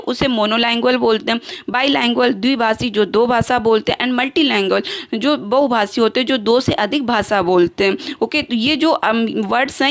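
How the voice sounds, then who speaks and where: A woman's voice: on the high side, fairly bright tone. A woman teaching an exam-prep lesson over slides, explaining in Hindi with English terms and pairing each term in both languages, with fillers and self-corrections.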